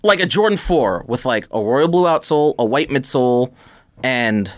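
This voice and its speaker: A woman's voice: a bass voice, animated, normal speed. A woman co-hosting a podcast in casual chat, talking in an informal, slangy way. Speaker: podcast co-host.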